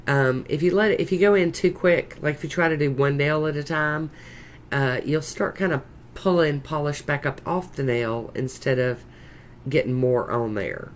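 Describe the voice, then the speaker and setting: Croaky Southern US woman, congested and chatty. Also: low voice, normal speed. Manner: folksy, rambling, chatty. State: congested with a sinus infection, sore throat. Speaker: nail art tutorial host. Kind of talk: casual narrated tutorial chat. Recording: noticeable background noise.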